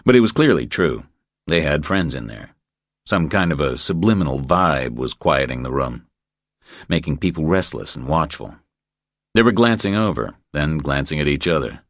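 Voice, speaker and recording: A man's voice: a bass voice, no room sound, studio quality. A man reading scripted thriller narration as an audiobook narrator.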